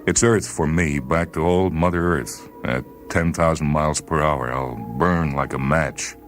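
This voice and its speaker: A man voicing a calm, detached spaceman who faces death in a steady, matter-of-fact way. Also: rumbling low, a little slow, soft background music.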